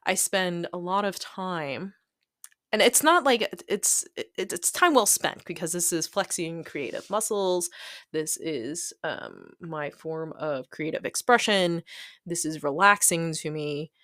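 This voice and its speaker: A woman's voice: lowish voice, expressive, measured pace, fairly bright tone, no room sound, studio quality. A woman chatting casually and digressively over a hobby craft video, self-deprecating and giggly, often voicing her own thoughts as she goes. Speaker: hobby planner video creator.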